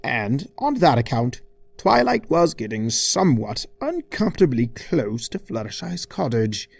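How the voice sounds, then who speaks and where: A man's voice: medium pitch, very animated, a little slow, vocal fry, a little mellow, no echo. A man reading a scripted comic story as narrator, in an arch, theatrical, mock-formal manner. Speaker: fan fiction reader.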